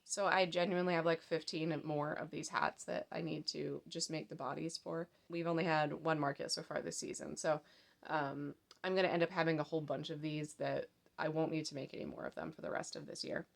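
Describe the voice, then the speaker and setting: Hoarse woman chatting about her crafts. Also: low voice, steady tone, fairly quick. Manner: chatty, rambling, lots of fillers. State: hoarse from a cold. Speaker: crochet pattern designer and vlogger. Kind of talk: casual show-and-tell chat. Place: home craft room in daylight.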